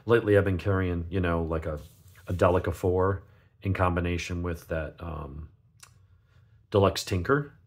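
Male reviewer, low husky gravelly voice, stuffed up with a cold, talking casually and off the cuff. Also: fairly even intonation, normal speed. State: sniffly with a cold. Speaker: knife reviewer, channel host. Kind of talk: casual unscripted product review.

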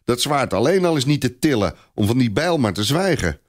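A man's voice: mid-range voice, big pitch swings, brisk, voice pushed up. A man voicing a young, good-humoured, admiring squire in a storybook tale.

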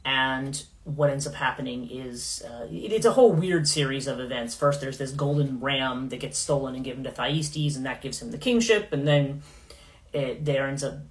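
A middle-aged woman hosting a casual livestream in her living room, rambling with many fillers and restarts, her voice going hoarse. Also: extremely low pitch, normal speed. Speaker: podcast host. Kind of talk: casual livestream talk on myth.

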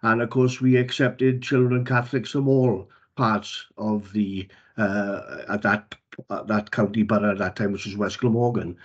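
A man's voice: fairly low pitch, steady tone, moderate pace, husky. A man, a councillor speaking plainly with many fillers at a council meeting. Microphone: video call. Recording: dull lo-fi video call audio.

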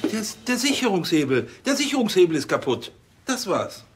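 Evasive cop, blustery, very lively intonation, comic radio drama, faint music. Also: male voice, high voice, a little fast. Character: blustering, evasive policeman dodging effort.

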